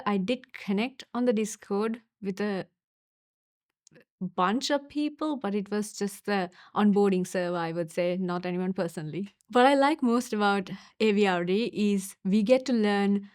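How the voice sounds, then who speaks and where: A young woman from Sri Lanka, a university student giving a casual testimonial, thoughtful and unhurried with small pauses and fillers. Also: medium pitch, no echo, clean studio sound.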